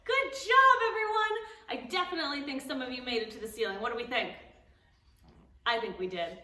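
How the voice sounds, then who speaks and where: A woman hosting a preschool storytime, encouraging and animated with the children. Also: sits high, a little fast, echoey room, recorded from a distance.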